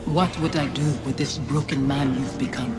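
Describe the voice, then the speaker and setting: A woman's voice: rumbling low, fairly even intonation, normal speed. A woman playing a dead wife's ghost in a video game, wounded and proud, speaking with mournful deliberation. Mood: accusing, scornful. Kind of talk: dramatic video game acting. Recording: cinematic music behind. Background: music.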